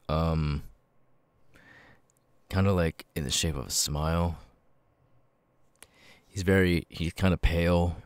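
A man voicing a weary, homeless young ex-convict with tics, halting and stammering in an ASMR audio roleplay. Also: deep voice, no echo. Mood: weary, hesitant. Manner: halting, stammering, with abrupt repeated-word tics. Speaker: voice actor. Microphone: close microphone, dry.

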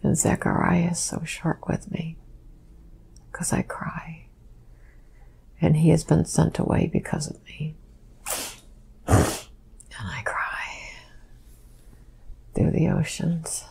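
A woman recalling events in a slow, halting, hushed whisper as she answers questions. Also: deep voice, gravelly, breathy edge. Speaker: session subject answering questions. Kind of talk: slow whispered first-person recollection.

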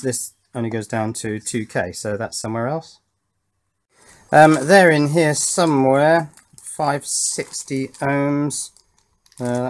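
A man's voice: medium pitch, darkish tone. Man thinking aloud at a workbench. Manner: thinking aloud while working. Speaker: host of an electronics build channel. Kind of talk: casual hands-on tutorial commentary. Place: indoor workbench.

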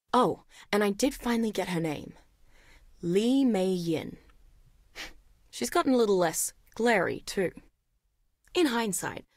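A woman's voice: mid-range voice, no echo, studio quality. An actress playing an inquisitive, analytical woman biologist in a video game, reading journal entries in an articulate, dryly wry way. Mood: wry, amused. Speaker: voice actor.

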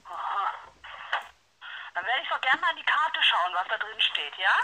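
Lively woman receptionist over a speakerphone. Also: fairly high pitch, moderate pace, poor audio. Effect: telephone on loudspeaker. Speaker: hotel receptionist. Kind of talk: phone customer service conversation. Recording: phone speaker picked up in room, background chatter.

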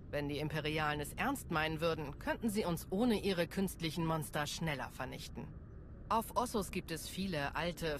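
A woman's voice: lowish voice, a little hiss or hum, lightly acted. A woman playing a tough, cocky security chief in a German game dub, sardonic and confident.

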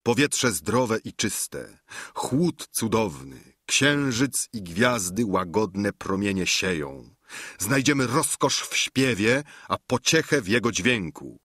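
A man's voice: on the low side, a bit bright, no room sound, clean studio sound, heavily acted. A man voicing a lofty, idealistic knight who speaks in grand, flowery phrases. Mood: dreamy. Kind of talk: audiobook character reading.

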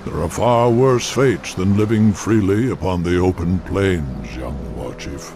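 Big old minotaur chief for a video game, proud n solemn, slow talker, faint music behind. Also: male voice, deep voice, gravelly, warm tone. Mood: grave, respectful. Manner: slow, solemn, formal speech. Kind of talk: fantasy video game acting.

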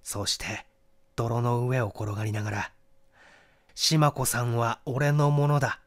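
A man voicing an earnest young gentleman in an audiobook, reasoning things out in a confessional manner. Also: mid-range voice, flat delivery, a little slow, pitched below the performer's own voice, natural voice. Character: earnest young gentleman explaining a bitter love rivalry. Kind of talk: dramatized audiobook dialogue.

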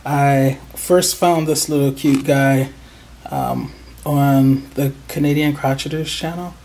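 A crochet channel host in a casual show-and-tell, sounding excited and proud and pausing often with hesitant ums. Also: medium pitch. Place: indoors at a table.

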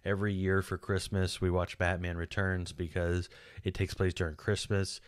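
Deep-voiced Texan man rambling casually. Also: little pitch movement, normal speed, no room sound. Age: 40s. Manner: rambling, chatty, frequent restarts and fillers. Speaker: podcast host. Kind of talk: casual solo video commentary. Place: small home studio room. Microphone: boom-mounted studio mic close up.

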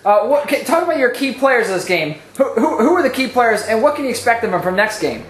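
A man's voice: very high-pitched, sing-song, fast talker, slight background noise. A man playing a reporter who eagerly and pushily calls out for the coach's attention. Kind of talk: comic press conference skit. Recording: echoey room.

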